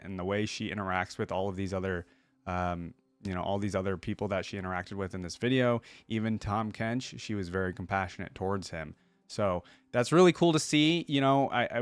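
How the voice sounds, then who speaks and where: A man's voice: on the low side, clean tone, no room sound. A man giving casual reaction commentary as a host, rambling as he thinks aloud.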